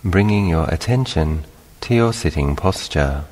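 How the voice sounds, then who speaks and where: Male meditation teacher, extremely low voice, calm guided delivery with long pauses, heavy reverberant hall sound.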